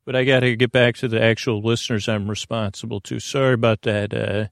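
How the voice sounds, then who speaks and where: A man with a creaky voice hosting a sleep podcast, meandering through halting, self-deprecating tangents. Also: medium pitch, flat delivery, no room sound, clean studio sound. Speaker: podcast host. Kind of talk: rambling bedtime sleep podcast monologue.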